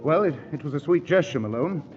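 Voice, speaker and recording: An actor playing a fussy, flustered newlywed husband in a 1940s radio comedy, on a dull old broadcast recording. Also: male voice, on the high side, lively intonation, fast talker, loud music behind the voice, close to an everyday voice.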